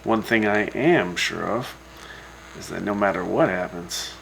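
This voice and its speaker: A man playing a laid-back, folksy host of a post-apocalyptic wasteland radio show, giving news in a casual, unhurried way. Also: lowish voice, gravelly, fairly bright tone, slight background noise, poor audio. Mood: musing.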